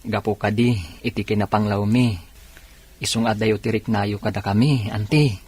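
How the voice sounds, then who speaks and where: A man's voice: on the low side, fairly even intonation, moderate pace, a little mellow, husky. A man playing a humble, forgiving nephew with an Ilocano accent, respectful and earnest, in a radio drama.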